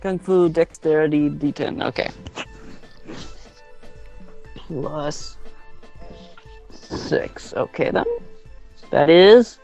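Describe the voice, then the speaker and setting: A man's voice: on the high side, very slow, creaky, pauses often, darkish tone, lo-fi sound, faint music behind. A man playing a tabletop game, chatting casually and hesitantly as he thinks aloud.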